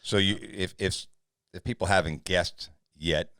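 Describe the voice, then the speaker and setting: A man's voice: deep voice, fairly even intonation, a little slow, a bit bright, clear, resonant tone, no room sound, studio quality. A man hosting a podcast, chatting and asking his guest questions. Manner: conversational, asks questions. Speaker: podcast host. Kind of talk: casual interview chat.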